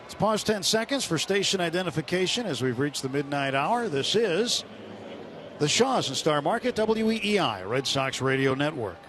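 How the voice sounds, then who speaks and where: A man's voice: fairly high pitch, very animated, moderate pace, noisy background. A man calling a baseball game live on the radio as the play-by-play announcer. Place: stadium broadcast booth.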